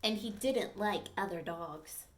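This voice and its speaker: A woman teacher reading a picture book aloud to children, with expressive storytelling and friendly asides to her listeners. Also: mid-range voice, a little slow, a little hiss or hum.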